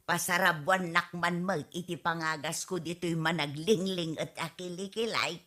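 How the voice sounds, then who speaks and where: A woman's voice: lowish voice, steady tone, normal speed, fairly bright tone, close to an everyday voice. A woman with an Ilocano accent playing a nagging, complaining wife and auntie in a whiny, scolding comedy radio drama style.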